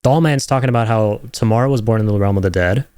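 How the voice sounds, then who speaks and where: A man giving casual reaction commentary, rambling and thinking aloud with some excitement. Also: on the low side, fast talker, clear, resonant tone, dry recording, studio quality. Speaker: video creator reacting to game trailers.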